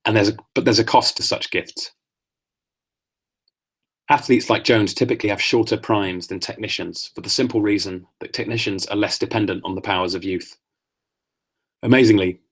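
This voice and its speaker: A man hosting a podcast, reading his own essays aloud and chatting offhand, his voice getting croaky. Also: lowish voice. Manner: measured reading that turns to offhand asides. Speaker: podcast host.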